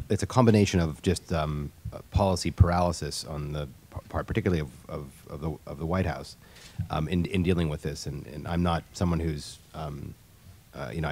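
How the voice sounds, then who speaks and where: A man with a notably low voice answers a question on a discussion panel. He talks in an explanatory way, stopping and restarting his sentences often as he works through his points. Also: a little mellow, slightly rough. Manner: halting, many restarts, explanatory.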